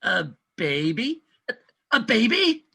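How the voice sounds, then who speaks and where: Man acting a flustered, husky, pompous hospital doctor. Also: sits high, very animated, slow, pushed and bright, exaggerated voice. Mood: panicked, blustering. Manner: blustering, stammering. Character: flustered middle-aged male London hospital doctor, pompous, evasive. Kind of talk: comic stage play reading.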